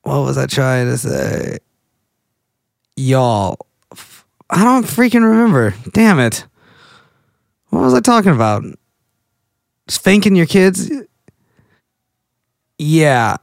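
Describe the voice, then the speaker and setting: A Texan man in his late twenties rambles along in a loose, goofy monologue, often breaking off his own sentences. His voice sits fairly high for a man and sounds smooth and clean. The recording is dry and close, with no room sound. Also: very animated, takes it slowly, pauses often, no echo. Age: late 20s. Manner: goofy, rambling, self-interrupting.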